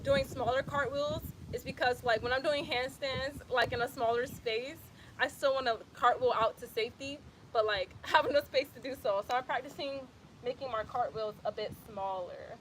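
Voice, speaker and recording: A woman's voice: sits high, steady tone, normal speed, slight background noise, rough recording. A young woman from Atlanta with an Atlanta accent, chatting casually and laughing often as she teaches handstand practice outdoors, filmed on a phone. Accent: Atlanta, Georgia. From Atlanta, Georgia, US. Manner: chatty and laid-back, says "y'all" and laughs often. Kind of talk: casual workout instruction. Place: outdoors in bright sun on an exercise mat.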